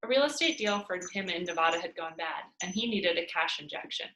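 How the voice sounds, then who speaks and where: Female read-aloud narrator for children's fiction; very lively intonation, roomy home sound. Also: fairly low pitch, fairly quick. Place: indoors at home by a fireplace.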